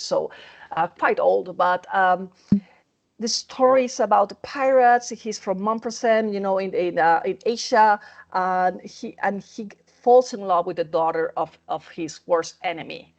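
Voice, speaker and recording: A woman author answering interview questions over a video call, earnest and halting, often restarting her sentences. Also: lowish voice, vocal fry.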